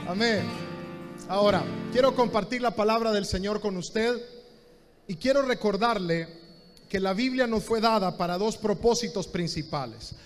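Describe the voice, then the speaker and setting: A man's voice: sits high, normal speed, long reverb tail, soft background music. A Honduran man preaching a sermon as pastor, speaking directly to the listener and repeating key phrases. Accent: Honduran Spanish.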